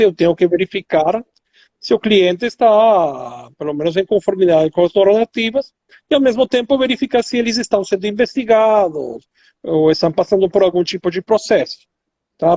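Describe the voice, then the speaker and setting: A man's voice: high-pitched, creaky, darkish tone, no echo, highs cut off. A middle-aged man with a Venezuelan accent, Spanish his first language, explaining as a guest expert in an online chat with many fillers and restarts. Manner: hesitant, many fillers and restarts, slow in places.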